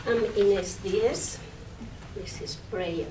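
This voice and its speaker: A woman reads a prayerful poem very slowly and solemnly, letting phrases swell and fade. She is heard at a distance in a roomy space with faint noise behind. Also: medium pitch. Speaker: poet reading own poem. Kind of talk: prayerful poetry recitation. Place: public library reading room.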